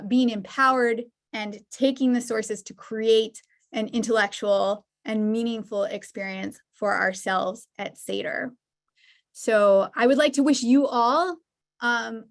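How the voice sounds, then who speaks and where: A woman presenting an online lecture over a video call, earnest and thoughtful, with quick asides. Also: fairly high pitch.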